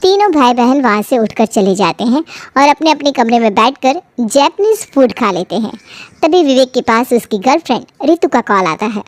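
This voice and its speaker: A woman's voice: on the high side, animated, normal speed, dry recording. A woman giving scripted narration for a story.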